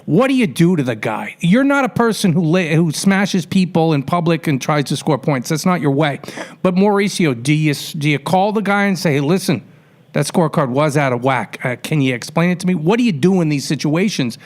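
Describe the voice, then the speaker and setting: Male radio host, moderately high pitch, wordy, informal interviewing style. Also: animated, a little fast. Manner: wordy, colloquial, builds up to questions. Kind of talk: conversational sports radio interview.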